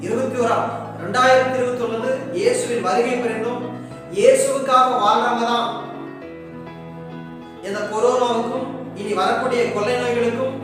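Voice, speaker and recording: A man's voice: very high voice, steady tone, normal speed, pauses often. A man preaching a fervent sermon, exhorting emphatically with repeated rhetorical questions. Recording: echoey hall, distant mic, music behind.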